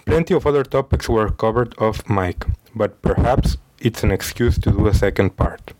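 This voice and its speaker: A low-voiced male podcast host. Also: moderate pace, a little mellow, a little hiss or hum, poor audio. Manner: reads with small stumbles and restarts. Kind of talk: scripted podcast intro, then interview questions.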